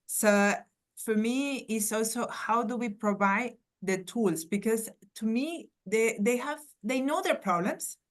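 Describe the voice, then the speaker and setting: A woman's voice: fairly high pitch, slow. A woman from Venezuela with a Venezuelan Spanish accent, a guest academic answering earnestly on a panel and searching for words.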